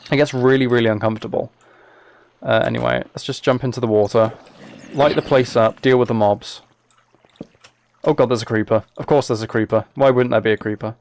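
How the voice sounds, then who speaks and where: Guy streaming a game, medium pitch, just rambling and thinking out loud, pretty understated, casual chat. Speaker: live streamer playing a video game. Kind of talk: casual gameplay livestream chat. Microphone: microphone set up in front of him.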